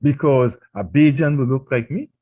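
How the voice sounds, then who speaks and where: A man's voice: medium pitch, moderate pace, mellow, dull audio. A man with a Trinidadian accent, preaching a radio sermon and pressing his points with emphatic rhetorical questions. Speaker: pastor.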